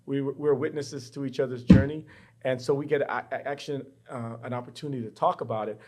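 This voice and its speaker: A man's voice: mid-range voice, fairly even intonation, fast, darkish tone. Reflective middle-aged male professor. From Bahamas. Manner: reflective, personal storytelling. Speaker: professor, guest. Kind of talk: candid interview conversation. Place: university studio.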